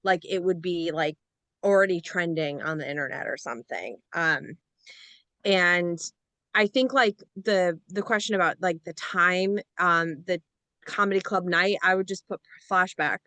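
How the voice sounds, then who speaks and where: A woman's voice: lowish voice, unhurried, bright, forceful tone, no echo. A woman on a reading panel giving casual feedback, tentative and peppering her sentences with filler words. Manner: tentative, frequent use of "like".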